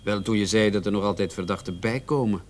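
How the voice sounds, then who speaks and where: An actor playing a keen, insistent junior police detective in a radio play, speaking pointedly and emphatically. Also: male voice, fairly low pitch, fast talker, natural voice. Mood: questioning. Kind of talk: Dutch-language radio drama dialogue.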